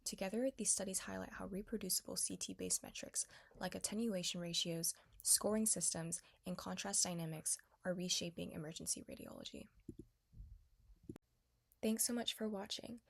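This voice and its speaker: A woman presenting scripted educational narration of medical research in a formal, measured, explanatory way. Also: on the low side, gravelly. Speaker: presenter, junior editor at a radiology education series.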